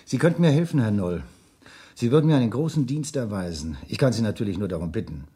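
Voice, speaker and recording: Low, mellow, husky evasive businessman. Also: lively intonation, quick pace. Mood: persuasive. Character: evasive, self-assured businessman, persuasive and guarded. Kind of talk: 1970s German radio crime drama acting.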